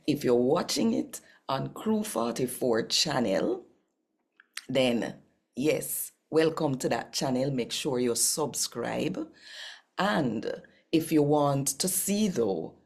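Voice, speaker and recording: A Jamaican woman with a husky, gravelly voice, hosting a scripture reading channel in a deliberate, emphatic manner. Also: very deep voice, darkish tone. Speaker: host and scripture reader.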